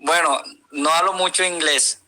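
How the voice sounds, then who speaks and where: Hesitant man, very bright tone. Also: on the high side, steady tone, low-quality recording. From Colombia. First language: Spanish. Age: 20s. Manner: halting beginner English, slipping into Spanish. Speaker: streamer chatting with strangers. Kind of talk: casual video chat. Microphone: video call.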